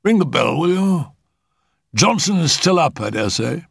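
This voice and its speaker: Casting: genteel elderly uncle, acted by a male reader, pitched up, formal, brisk, a touch fussy, storybook. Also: big pitch swings, creaky, fairly bright tone, husky, no echo, clean studio sound, heavily acted. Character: courteous, upper-class older gentleman, a fussy uncle.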